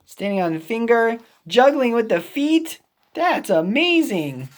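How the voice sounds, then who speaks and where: A woman teaching English through an interactive picture book read-aloud, expressive and full of exclamations and little sound effects. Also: fairly high pitch, a little slow.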